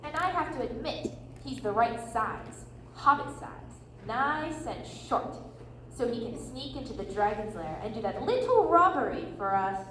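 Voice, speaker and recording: A woman's voice: fairly high pitch, sing-song, measured pace. A woman playing a scornful, blunt dwarf adventurer with a sarcastic, emphatic manner, in a readers theatre performance. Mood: grudging, teasing. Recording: echoey room from far off, noisy.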